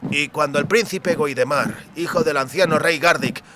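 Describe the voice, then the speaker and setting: A man's voice: a little high, moderate pace, background noise, lightly acted. A man voicing an earnest, bookish young man who explains things formally and pedantically in a fantasy audiobook. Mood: eagerly lecturing.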